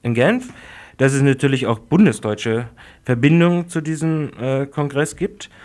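A man's voice: mid-range voice, lively intonation, measured pace, darkish tone. A man presenting news on live radio in a casual, hesitant way, with filler sounds.

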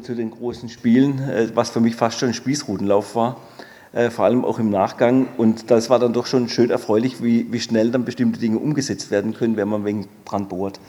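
A man replying off the cuff as chair of a meeting, conciliatory and halting, often repeating words as he goes. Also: mid-range voice, fairly even intonation, warm tone. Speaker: mayor chairing a committee. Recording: echoey meeting hall.

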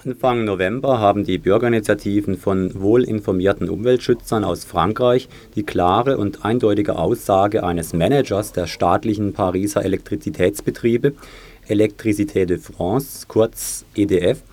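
A man presenting a radio news report in a measured, matter-of-fact way. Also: on the low side, darkish tone.

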